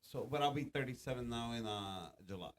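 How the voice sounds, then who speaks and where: A man's voice: mid-range voice, brisk, a little hiss or hum. A man in his thirties, a podcast guest, chatting casually, laughing often and swearing freely. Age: 30s. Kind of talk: casual chat.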